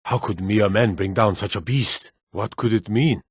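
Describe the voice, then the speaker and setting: An actor playing a gruff town guard in a fantasy video game, speaking in terse, emphatic, gossipy remarks. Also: male voice, lowish voice, normal speed, no room sound, studio quality. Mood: shocked. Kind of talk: fantasy game character barks.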